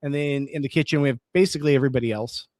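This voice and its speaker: A man hosting a late-night live stream, chatting casually with viewers, reading their comments aloud and rambling on with restarts, his voice going hoarse. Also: medium pitch, very fast, darkish tone, no echo. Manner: rambling, reads chat aloud, restarts words.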